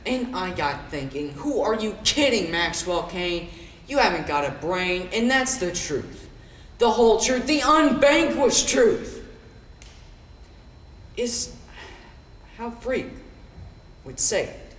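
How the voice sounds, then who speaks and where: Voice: mid-range voice, very animated, slow pace, fairly bright tone, recorded from a distance. A performer playing a downcast, self-deprecating boy telling his story in a reflective theatrical monologue with swells of emphasis. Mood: bitter, emphatic. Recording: echoey room from afar, faint noise.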